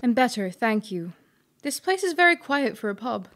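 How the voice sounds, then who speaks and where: A woman acting a mild-mannered trader in an audio drama, with a slightly high voice. She speaks calmly and courteously, keeping her composure. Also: moderate pace, a little hiss or hum. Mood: calm, relieved. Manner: courteous, calm.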